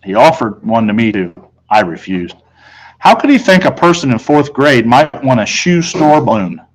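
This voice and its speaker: A man's voice: mid-range voice, very animated, normal speed, poor audio. A man reading a children's novel aloud as a teacher would, narrating in the first person.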